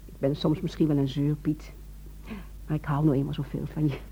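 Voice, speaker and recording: Loving anxious wife, soft dark timbre, probing, radio play, dull old recording. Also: female voice, sits low, little pitch movement, a little fast, slight background noise. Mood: tender, concerned. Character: worried, loving, pleading wife.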